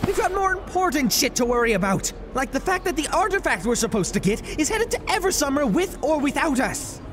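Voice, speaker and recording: A woman's voice: high-pitched, sing-song, brisk, noisy background. A woman voicing a sassy, theatrical male elf wizard with a dramatic, sarcastic delivery. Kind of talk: comic dub voice acting.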